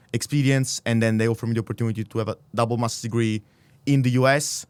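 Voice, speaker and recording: A man in his thirties with an Italian accent, a native Italian speaker, talking as a podcast guest in earnest, halting, restarted phrases. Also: mid-range voice, darkish tone, smooth, no room sound. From Italy. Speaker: podcast guest, startup accelerator director. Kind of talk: casual interview conversation.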